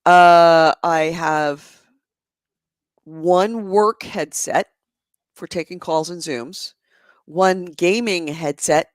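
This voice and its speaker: A woman hosting a podcast in a casual chat, her voice hoarse and gravelly, talking in a chatty, animated way and laughing often. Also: fairly low pitch, very slow, long pauses, no room sound. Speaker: podcast host. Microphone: adjustable microphone close to the mouth.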